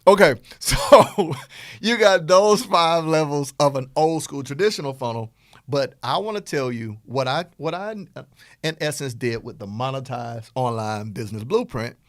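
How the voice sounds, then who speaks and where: A man's voice: medium pitch, moderate pace, no echo, clean studio sound. A man with a Southern US accent, hosting a podcast and casually teaching business strategy with playful acted-out dialogue. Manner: animated, playful, acts out dialogue. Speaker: podcast host, business coach.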